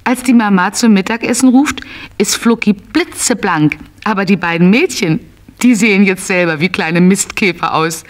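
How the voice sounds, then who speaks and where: Woman narrator with a medium-pitched voice. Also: normal speed. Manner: storytelling for small children. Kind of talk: scripted children's story narration.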